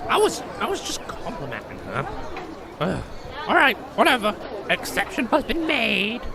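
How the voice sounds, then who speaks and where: A man's voice: high voice, huge pitch swings, normal speed, creaky, crowd noise, background noise, full character voice. A man playing a foul-mouthed, cocky, irritable gnome in a comic fantasy audio drama. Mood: exasperated. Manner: sweary, irritable, complaining.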